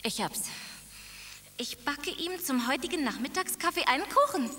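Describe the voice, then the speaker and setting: A clever young princess, plucky and eager, with a high, bright voice. Her lines ring in a reverberant space with music underneath, like a radio play. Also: expressive, normal speed, slight background noise.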